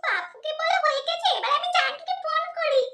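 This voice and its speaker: A woman's voice: extremely high pitch, lively intonation, a little fast, sharp tone, full character voice. A woman voicing a giddy, scheming younger sister with a gleeful, singsong manner, in a comic sketch. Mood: sweet-talking. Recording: echoey room with noise.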